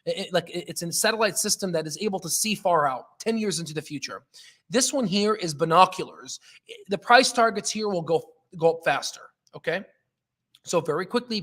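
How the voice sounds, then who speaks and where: A man hosting a live stock trading stream, talking casually and emphatically in an excitable, jokey way as he comments and answers chat. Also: sits high.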